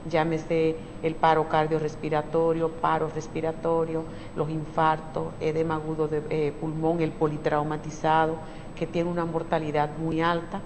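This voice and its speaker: A woman, an emergency physician on a TV talk show, speaking in a measured way and adding short remarks. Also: low voice, monotone, a little mellow, in a large hall, a little hiss or hum, poor audio. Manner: measured, adds brief interjections. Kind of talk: TV interview.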